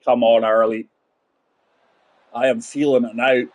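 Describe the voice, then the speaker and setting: A man's voice: medium pitch, level tone, unhurried, slight background noise. A man in his forties giving chatty live commentary on a golf game, talking straight to his viewers. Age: 40s. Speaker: game streamer.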